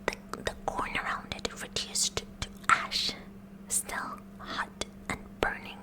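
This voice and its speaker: A woman's voice: takes it slowly, natural voice. A woman playing a kooky, eager teenage science club president in a whispered ASMR roleplay, with nerdy formal wording and a nervous stammer. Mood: excited, awed.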